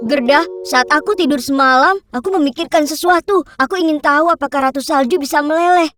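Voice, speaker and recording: High-voiced, headstrong little boy. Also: brisk, a bit bright, faint music behind. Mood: determined. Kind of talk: children's cartoon dub.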